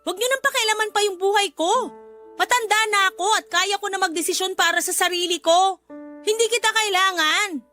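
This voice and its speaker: An actress playing an emotional, independent-minded young adult daughter in a Filipino radio drama. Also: female voice, very high-pitched, brisk, pushed and bright, faint music behind. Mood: angry, defiant.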